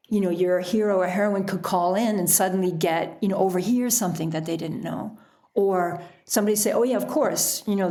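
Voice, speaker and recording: Female podcast guest, mid-pitched with noticeable vocal fry, chatty and illustrative storyteller. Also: a little mellow. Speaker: podcast guest, author and sailor. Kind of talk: casual interview talk.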